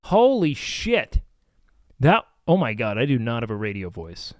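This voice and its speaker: A man with a nasal voice chatting casually on his live stream, loud and excitable, swearing often. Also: mid-range voice, measured pace, no room sound, clean studio sound, faint music behind. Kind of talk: casual live-stream chat reacting to clips. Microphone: studio mic with noise gate.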